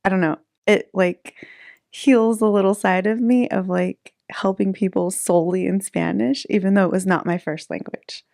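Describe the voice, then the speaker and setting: A woman's voice: medium pitch, steady tone, moderate pace, gravelly, darkish tone, no room sound, studio quality. A woman in her thirties, a real estate agent chatting casually in an interview, laughing easily between fillers. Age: 30s.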